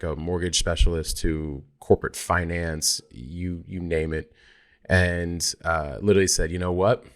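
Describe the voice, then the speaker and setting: A man in his forties with an American accent, hosting a conversational podcast interview in a reflective, encouraging manner. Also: sits low. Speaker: podcast host.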